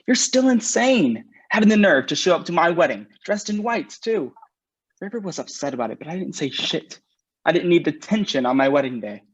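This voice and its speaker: A man's voice: on the high side, big pitch swings, brisk, husky. A man reading the part of a sarcastic groom on his wedding day in a staged play reading over a video call. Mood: angry. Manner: sharp, sarcastic, swears often. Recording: muffled video call audio.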